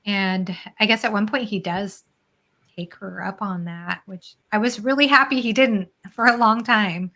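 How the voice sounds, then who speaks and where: A woman's voice: mid-range voice, a little slow, bright, forceful tone. Woman chatting casually about books. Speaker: book discussion panelist. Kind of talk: casual book chat.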